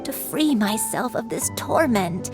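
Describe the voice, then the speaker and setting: An actress voicing a frail, gentle elderly grandmother who is losing her memory, speaking softly and wistfully in a game cutscene. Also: on the high side, expressive, over loud music. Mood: resigned. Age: older. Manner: soft, slow, wistful.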